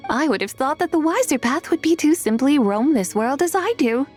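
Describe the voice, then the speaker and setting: A grand immortal woman in a fantasy video game. She sounds haughty yet amused, with old-fashioned, theatrical delivery. Her fairly high voice rises and falls freely over faint music. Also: lively intonation, moderate pace, darkish tone. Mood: lofty amusement. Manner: theatrical, archaic, lofty diction. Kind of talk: video game character acting. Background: background music.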